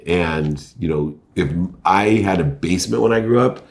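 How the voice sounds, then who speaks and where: Brief: middle-aged male host, very low voice, upbeat and eager, conversational. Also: moderate pace, gravelly. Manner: energetic, enthusiastic, uses "you know" often. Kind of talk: podcast interview host.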